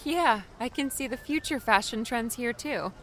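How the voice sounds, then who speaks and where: Woman acting a shy, higher-voiced young newcomer. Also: expressive, moderate pace, a bit bright, a little hiss or hum. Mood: amused. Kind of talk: dramatised audiobook character dialogue.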